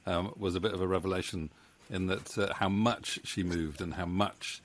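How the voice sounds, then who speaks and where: A man in his forties hosting a casual interview, speaking hesitantly in halting, circling sentences. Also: low-pitched, fairly even intonation, slight background noise. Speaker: interview host, audio drama maker. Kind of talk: casual chat, moderating. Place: home office indoors. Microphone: studio mic on boom arm, close.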